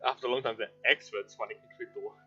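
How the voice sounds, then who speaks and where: A man's voice: medium pitch, rapid-fire. Man livestreaming a game, slightly dull sound. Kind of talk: casual play-along commentary, reading game text aloud. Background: faint game music.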